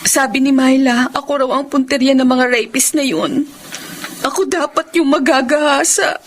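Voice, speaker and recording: An actress playing a protective, vengeful older sister in an emotional, emphatic radio melodrama style. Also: female voice, high voice, fairly even intonation, moderate pace, slight background noise, natural voice. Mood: guilt-ridden. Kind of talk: Filipino radio melodrama acting.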